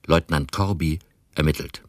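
A man reading scripted narration for a radio crime play in a measured, dramatic storytelling manner. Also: a bass voice, steady tone, noisy background.

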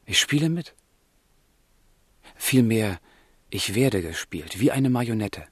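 An actor playing a melancholy, sensitive young gentleman in a literary radio drama, with a husky, gravelly voice and a fervent, brooding delivery. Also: on the low side, animated, slow, no echo, full character voice. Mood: brooding, anguished.

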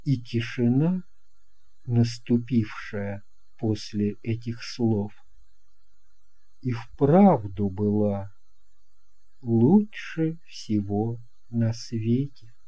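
An unhurried storybook narrator with a very dark, mellow tone. The reading is scripted and extremely slow, laid out in short, deliberate phrases. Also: medium pitch, expressive, slightly rough.

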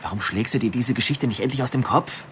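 A man's voice: mid-range voice, fast, fairly bright tone, slight background noise, lightly acted. An actor playing a brash, scheming criminal in a radio play, dismissive and coaxing with his partner.

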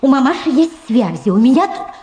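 Radio drama: vulgar, effusive middle-aged showgirl, high-voiced and very animated, rambling and repeating herself. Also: brisk. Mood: excited, pushy. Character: gushing, vulgar, faded American showgirl reunited with her daughter. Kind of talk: Soviet radio drama acting.

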